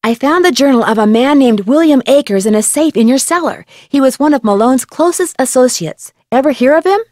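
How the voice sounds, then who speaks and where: Casting: young female sleuth for a mystery game; high-pitched, assured, articulate, curious, dry studio sound. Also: expressive, a little fast, a little mellow, dry recording. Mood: confident, inquisitive. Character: confident, inquisitive young woman amateur detective. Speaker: voice actress in an adventure video game. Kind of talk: game character dialogue acting.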